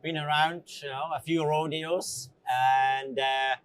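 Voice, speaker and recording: A middle-aged man from Switzerland chatting as an interview guest, speaking in a halting, conversational way with frequent "you know" asides. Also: fairly high pitch, steady tone, smooth, no room sound. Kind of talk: casual interview answers.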